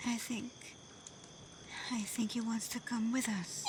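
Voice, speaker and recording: Woman acting as a gentle kitsune fox-woman, devoted, unhurried, breathy and hesitant, often whispering, intimate ASMR roleplay, night insects faintly behind. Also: a little high, little pitch movement, noisy background, lightly acted. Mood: soft, playful.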